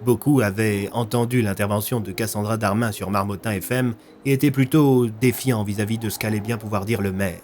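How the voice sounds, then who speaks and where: A man's voice: on the low side, fairly even intonation, brisk, hardly any pauses, darkish tone, a little hiss or hum. A man narrating a scripted audio story as its storyteller.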